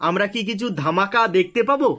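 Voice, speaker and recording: A woman's voice: mid-range voice, expressive, quick pace, a bit bright, slight background noise, close to an everyday voice. A woman voicing a polite, curious interviewer in a cartoon, with a West Bengal accent.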